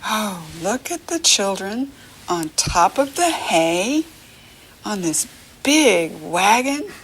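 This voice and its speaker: A woman with an American accent speaks very slowly to young children, in an ordinary mid-range pitch with lively rises and falls. She sounds middle-aged and teacherly. She asks simple questions, leaves pauses for answers and gives encouragement. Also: a little hiss or hum, rough recording. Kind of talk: read-along lesson for young children, questions and praise.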